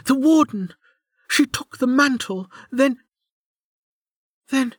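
Man voicing a gasping, distraught warrior woman, pitched high. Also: fairly bright tone, slightly rough, no room sound, clean studio sound, heavily acted. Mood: bewildered, shaken. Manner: gasping, halting, strained. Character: distraught wounded warrior woman, disoriented and defiant. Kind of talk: audiobook character acting.

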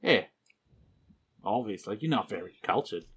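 A man's voice: on the low side, lively intonation, moderate pace, fairly bright tone, breathy edge. A man in casual chat with friends about their tabletop game, joking and rambling with lots of laughter. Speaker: podcast cast member.

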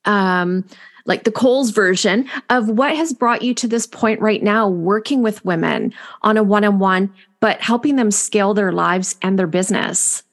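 A woman's voice: mid-range voice, normal speed. A woman hosting a spiritual podcast, welcoming her guest and asking about her journey. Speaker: podcast host. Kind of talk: podcast interview.

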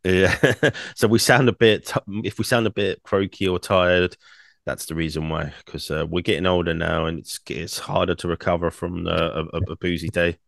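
A young man, co-hosting a casual podcast, rambling with many fillers and restarts, his throat croaky from singing. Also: sits low, level tone, normal speed, fairly bright tone, no room sound, clean studio sound. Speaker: podcast co-host.

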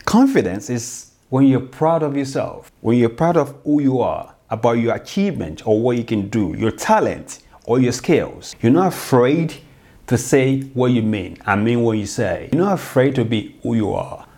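A man's voice: medium pitch, big pitch swings, moderate pace, warm tone. A man hosting his own podcast, giving an earnest, explanatory solo talk straight to his listeners. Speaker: podcast host.

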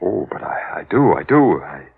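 A fussy, doubting defense counsel in a radio drama. He is flustered and stammering, with a low voice that swings widely in pitch. The old recording sounds muffled. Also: sing-song, moderate pace, vocal fry, slightly rough, heavily acted. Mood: placating. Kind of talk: old-time radio acting.

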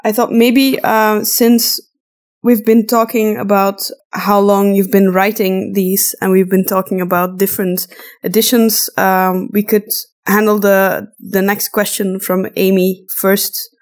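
A woman from Flanders with a Flemish accent, a native Dutch speaker, co-hosting a casual chat and pausing mid-sentence. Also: mid-range voice, little pitch movement. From Flanders, Belgium. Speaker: podcast co-host. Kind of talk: casual conversational Q&A.

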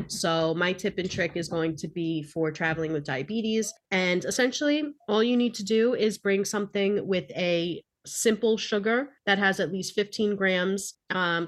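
A woman's voice: fairly low pitch, fairly bright tone. A woman co-hosting a podcast, chatting casually and giving practical tips in a plain-spoken, instructional way. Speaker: podcast co-host.

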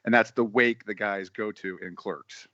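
A man's voice: lowish voice, steady tone, normal speed, bright, forceful tone, no room sound, lo-fi sound. A man co-hosting a podcast, giving blunt, opinionated film commentary in a casual style. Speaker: podcast co-host.

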